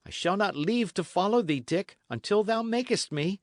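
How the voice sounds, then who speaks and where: A man's voice: high-pitched, animated, moderate pace, dry recording, voice pushed up. A small, tearful lad begging to stay loyal, as a male narrator puts him on, his voice lifted well above the reader's own and full of rise and fall. The dry, close storybook recording keeps it intimate. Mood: stubborn, pleading. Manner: pleading, plaintive. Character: timid stubborn young lad, loyal and tearful.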